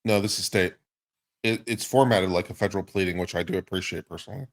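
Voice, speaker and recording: Low-voiced man reading legal text aloud. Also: fast talker. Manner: profane, incredulous asides between formal read passages. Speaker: legal commentator. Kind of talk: dramatic reading of a lawsuit with casual commentary.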